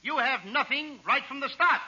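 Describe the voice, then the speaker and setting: Old time radio comic guy, high boyish voice, kinda flustered, muffled old broadcast sound. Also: normal speed, bright, forceful tone. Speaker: comedian, star of the show. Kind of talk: 1940s radio comedy sketch.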